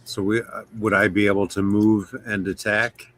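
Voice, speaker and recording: A man's voice: lowish voice, flat delivery, normal speed, a bit bright. A man chatting casually as a player in a tabletop game over an online call.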